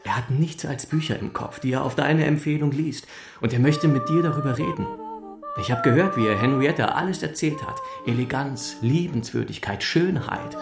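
A man playing a good-natured, insistent young gentleman in a radio play, speaking in an eager, teasing way. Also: mid-range voice, moderate pace, vocal fry, reverberant, over music, close to an everyday voice. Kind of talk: German radio play acting.

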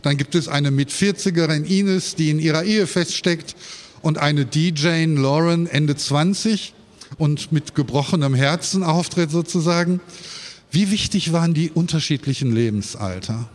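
A man's voice: fairly high pitch, normal speed. A man moderating a stage interview, asking questions with articulate, formal phrasing.

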